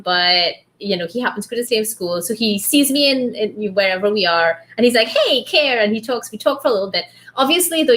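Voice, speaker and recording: A woman from Trinidad with a softened Trinidadian accent talks in a relaxed, friendly chat. She tells stories with bursts of laughter and little acted quotes, and her pitch sits in the middle for a woman. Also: poor audio. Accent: light Trinidadian, trained toward neutral. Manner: animated storyteller, laughs often, acts out quotes. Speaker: book club host. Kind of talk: casual chat.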